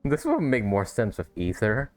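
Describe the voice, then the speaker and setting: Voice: mid-range voice, huge pitch swings, moderate pace, heavy background noise. A gaming video host giving casual, amused commentary in an informal way.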